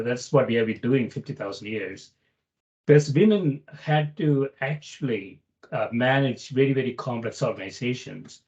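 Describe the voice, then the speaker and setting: A man's voice: mid-range voice, a little mellow. Male interview host on muffled call. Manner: rambling, loosely builds his argument. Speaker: podcast host. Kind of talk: interview question, conversational.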